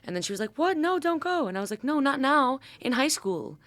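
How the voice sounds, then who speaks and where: An American woman in her twenties chatting casually as a podcast guest, informal and rambling, with animated storytelling. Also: sits high, fairly quick, dry recording. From Detroit, Michigan, USA. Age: 20s. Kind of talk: casual chat.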